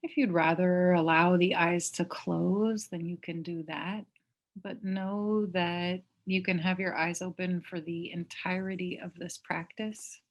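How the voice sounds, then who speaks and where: A woman leads a guided meditation at a very slow pace, leaving long pauses between phrases. Her voice sits on the low side, and her delivery is gentle, like a mindfulness teacher giving instructions. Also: fairly even intonation, lo-fi sound.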